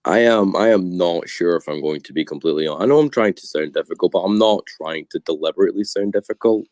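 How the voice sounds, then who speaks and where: A man's voice: deep voice, quick pace, darkish tone, no room sound, clean studio sound. A man from Scotland chatting casually as a guest, speaking in a hesitant, noncommittal way. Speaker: debate guest. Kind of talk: casual chat. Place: online voice chat.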